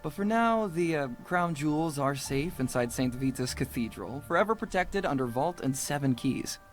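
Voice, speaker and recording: A woman's voice: low voice, expressive, normal speed, faint music behind. An actress playing a friendly, chatty young woman puppeteer in a video game, with a teasing manner. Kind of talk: video game character dialogue.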